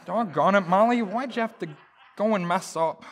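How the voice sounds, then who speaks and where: A woman's voice: medium pitch, moderate pace, natural voice. A woman playing a boastful, blustery pack-rat husband in a folksy, exclamatory old-time radio comedy style. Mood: blustering, defensive.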